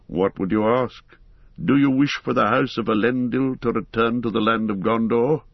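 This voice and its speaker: A man reading the part of a grave, weary heir of kings, speaking calmly and earnestly. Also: mid-range voice, moderate pace, vocal fry, no room sound, muffled recording. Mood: dignified. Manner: calm, dignified, earnest.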